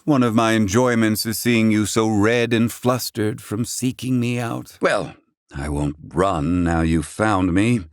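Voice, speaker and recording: A man's voice: lowish voice, unhurried, no room sound, studio quality. An actor playing a proud, sardonic old male scholar and healer in a video game, speaking in formal, archaic phrasing. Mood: wry, relaxed. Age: older. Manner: haughty, formal archaic diction.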